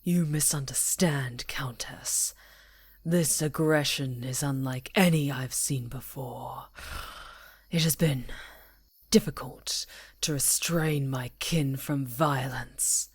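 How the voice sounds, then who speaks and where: A woman playing a proud, solemn female werewolf chieftain in an ASMR roleplay, speaking gravely and formally in a husky, breathy voice. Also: extremely low pitch, no room sound, heavily acted. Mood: grave, measured. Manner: slow, grave, formal pronouncements.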